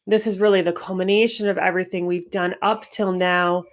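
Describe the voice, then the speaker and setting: A stuffy-nosed woman hosting a spiritual wellness podcast, talking in a reflective, unhurried way with frequent restarts. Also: fairly low pitch, level tone. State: stuffy nose. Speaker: podcast host.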